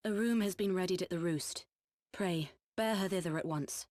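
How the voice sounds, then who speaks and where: A woman's voice: lowish voice, normal speed, a little mellow, no echo, studio quality, close to an everyday voice. A woman playing a solemn, authoritative healer in a video game cutscene. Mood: commanding.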